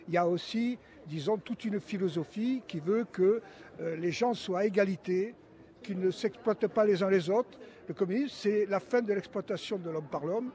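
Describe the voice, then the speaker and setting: A man's voice: high-pitched, darkish tone. A man patiently explaining ideas to schoolchildren in a live radio interview, with small hesitations. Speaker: guest explaining politics to schoolchildren. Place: festival stand, live broadcast.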